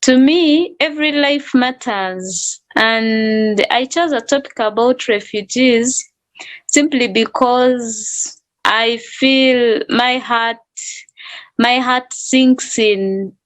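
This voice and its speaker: A woman's voice: fairly high pitch, very slow. A woman with a Ugandan accent speaking earnestly as a guest on a panel.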